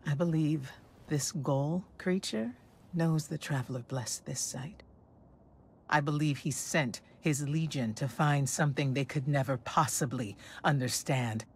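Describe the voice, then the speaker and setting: A woman playing a grave, disillusioned warrior scholar in a game cinematic, low-voiced, solemn and measured. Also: a little hiss or hum. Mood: bitter.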